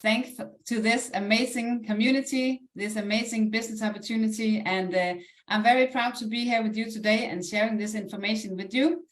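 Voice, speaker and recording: High-voiced Danish woman in an echoey room. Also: steady tone, fairly quick, hardly any pauses. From Denmark. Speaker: online presenter, network marketing ambassador. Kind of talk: live online sales presentation, motivational pitch. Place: indoors, echoey room, live stream. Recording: roomy, echoey live online call.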